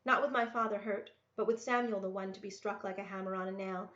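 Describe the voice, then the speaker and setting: A woman reading a story aloud in an expressive storybook narration style. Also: medium pitch, fast talker. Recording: roomy, echoey, dull lo-fi sound.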